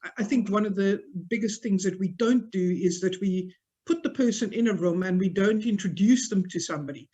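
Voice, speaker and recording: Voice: medium pitch, brisk, dark tone. Club member from south africa, easygoing chat on a call, mid voice. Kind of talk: video call discussion. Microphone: video call.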